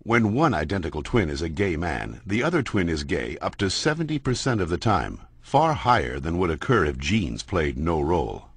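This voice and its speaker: A man playing a genial, wry science narrator in an educational cartoon, explaining in measured phrases. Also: sits low, sing-song, breathy edge, heavily acted. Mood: wry, explanatory. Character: genial male science narrator moderating a debate. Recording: music behind the voice.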